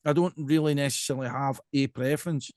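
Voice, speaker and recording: A man's voice: mid-range voice, fairly even intonation, fast talker, a little mellow, dry recording, studio quality. A man with a Scottish accent hosting a casual interview, talking in an emphatic, rambling way and often checking in with the listener. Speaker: podcast host.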